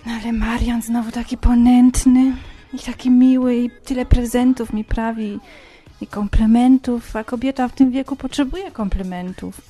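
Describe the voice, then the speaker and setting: Woman acting fretful, flirtatious married woman, high-voiced. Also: steady tone, moderate pace, mellow, soft background music, close to an everyday voice. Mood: fretful, torn. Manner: fretful, coaxing, repetitive. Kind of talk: amateur comic podcast radio drama.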